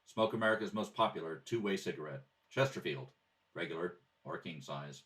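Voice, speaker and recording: A man's voice: sits low, expressive, brisk, a bit bright, distant mic, rough recording. A man reading scripted announcements and narration in the style of an old-time radio program.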